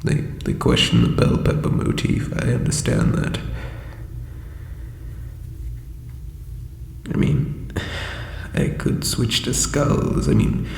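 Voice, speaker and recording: A man playing an ancient, insecure undead lich king in a comedic audio roleplay, with a breathy croak and hesitant, pompous phrasing. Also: mid-range voice, huge pitch swings, a little slow, darkish tone, exaggerated voice. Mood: self-doubting. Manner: hesitant, self-doubting, theatrical formal phrasing. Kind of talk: scripted comedic audio roleplay addressed to listener. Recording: reverberant echoey hall, faint background noise.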